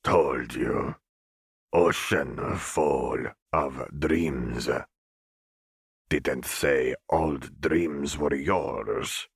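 A huge, cryptic fish-folk cook, rumbling out halting, broken phrases. His voice sits extremely low and gravelly, with a husky edge, and each word drags out very slowly. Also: dry recording, studio quality, full character voice. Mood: calm, cryptic.

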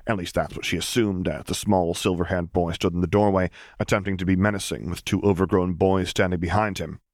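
Low-voiced man narrating a story. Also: steady tone, a little fast, no room sound, clean studio sound. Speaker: audiobook narrator.

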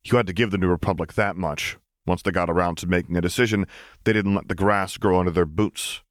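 A man with a deep voice narrates scripted fiction as an audiobook reader. The sound is dry and close, with no room around the words. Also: fairly even intonation, quick pace, no room sound.

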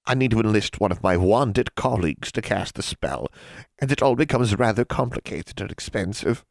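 A man voicing a sly old female hag who lends money, teasing and cackling with drawn-out words. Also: on the low side, lively intonation, creaky, no room sound, clean studio sound, voice pushed up. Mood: mocking.

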